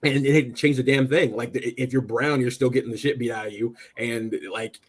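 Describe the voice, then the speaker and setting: An American man with a slightly husky voice of average pitch chats about politics. He rambles and doubles back, agreeable and informal as a panelist. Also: fairly even intonation, a little fast. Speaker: political talk show panelist. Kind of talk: casual political chat.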